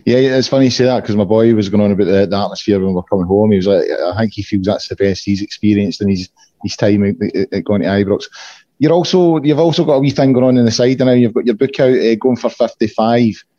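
Casting: hoarse Scottish male podcast host, low and dark, chatty, dull lo-fi dry recording. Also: fast, no echo. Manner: chatty, many fillers and restarts. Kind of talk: casual podcast chat.

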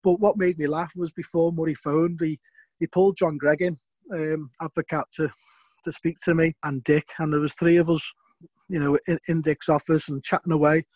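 A man's voice: a little high, little pitch movement, moderate pace, a little mellow, dry recording, poor audio. Scouse man telling stories, dry sound. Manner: rambling, repeats words, chuckles between points. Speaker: former footballer. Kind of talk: interview storytelling.

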